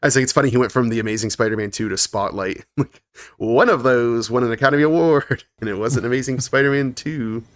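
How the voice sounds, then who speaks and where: A man's voice: mid-range voice, brisk, no room sound, studio quality. A man co-hosting a podcast in casual chat, reading long lists of credits with frequent laughs and fillers. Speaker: podcast co-host.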